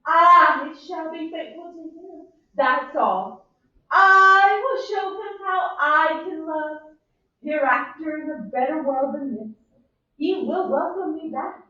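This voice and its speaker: A theatrical young widow in deep mourning, put on by a woman, speaks with a Southern accent, her voice pitched very high and her lines drawn out slowly. She sighs and swings into wailing. The reading sounds far from the mic in an echoey room, a little dull. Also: sharp tone, exaggerated voice. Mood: mournful. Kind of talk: amateur play reading.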